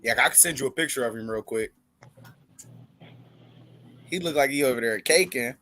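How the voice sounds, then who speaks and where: A man joining a podcast video call as a contender, easygoing and quick to laugh. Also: mid-range voice, bright, forceful tone. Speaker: tournament contender. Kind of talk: casual video-call chat.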